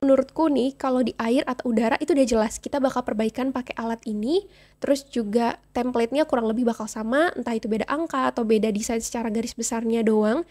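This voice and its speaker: A woman's voice: fairly high pitch, warm tone. A young woman vlogging casually to camera, a little nasal and congested from a cold, chatting and slipping in English phrases. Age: young adult. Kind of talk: casual vlog chat to camera.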